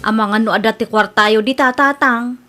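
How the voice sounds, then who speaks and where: A woman's voice: fairly high pitch, moderate pace, loud music behind the voice, close to an everyday voice. A woman with an Ilocano accent playing an evasive younger woman short of money, wheedling in a comedy radio drama. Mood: pleading.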